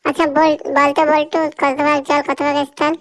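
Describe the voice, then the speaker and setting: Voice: high voice, flat delivery, fairly quick, very noisy, lightly acted. A performer voicing a strict, bossy cartoon schoolteacher who scolds and instructs pupils in a comedy dub. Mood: scolding.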